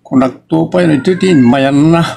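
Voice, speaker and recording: Man acting as aggrieved young husband, creaky, raised voice. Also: fairly high pitch, moderate pace, dark tone. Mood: troubled. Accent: Ilocos. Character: aggrieved married son confronting his parents. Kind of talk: Ilocano audio drama acting.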